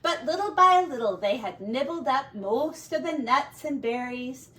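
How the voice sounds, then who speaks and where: Lady teacher doing a read-aloud, slow n expressive, bit far from the mic. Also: high voice, slight background noise. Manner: expressive, deliberate phrasing for children. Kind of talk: storybook read-aloud with casual asides on pictures.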